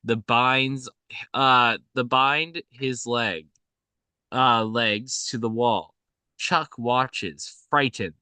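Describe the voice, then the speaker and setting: Halting male script reader, bright voice. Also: medium pitch, smooth, no echo. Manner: halting, often stumbles and self-corrects. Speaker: reader of stage directions. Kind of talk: scripted narration at a table read.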